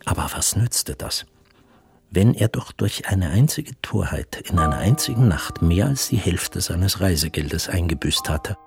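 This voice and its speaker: A man narrating a literary radio drama from a script in formal, measured storytelling sentences. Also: sits low, expressive, creaky. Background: music.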